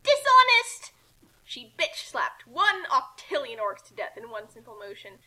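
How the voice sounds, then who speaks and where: Female story reader, high-pitched and swooping, animated comic narration. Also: normal speed, slightly rough, rough recording. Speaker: reader of fan fiction.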